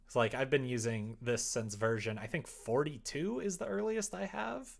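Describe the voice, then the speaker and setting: A man's voice: mid-range voice, normal speed, creaky. A man streaming casually, talking informally and muttering to himself as he works. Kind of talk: casual livestream chat while coding a visual novel.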